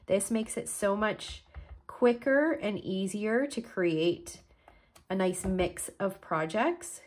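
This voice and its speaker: A woman's voice: medium pitch, lively intonation, slow, darkish tone. A woman from Canada explaining a card-making project step by step while she works, a little stuffed up from a cold. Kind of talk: crafting tutorial.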